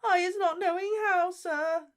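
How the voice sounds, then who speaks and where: A reader voicing a small, frightened female house-elf who squeals and sobs in broken grammar, her voice squeaky and tearful. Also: steady tone, measured pace. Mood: terrified, sobbing. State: crying.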